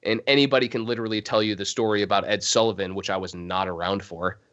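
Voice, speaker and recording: A man's voice: fairly low pitch, fairly even intonation, fast, fairly bright tone. A man with a Chicago accent, a drummer guest chatting casually in an interview, rambling with frequent chuckles. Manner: rambling, laid-back, chuckles often. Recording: muffled lo-fi video call audio.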